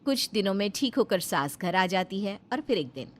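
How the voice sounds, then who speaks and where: A woman's voice: mid-range voice, big pitch swings, moderate pace, slight background noise. A woman narrating a scripted Hindi family moral story as a storyteller.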